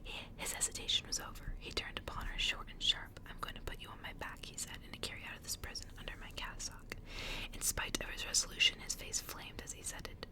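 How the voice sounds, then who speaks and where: Whispering woman reading aloud for ASMR. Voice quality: whispered, breathy. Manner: soft, hushed, unhurried storytelling. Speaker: ASMR reader and host.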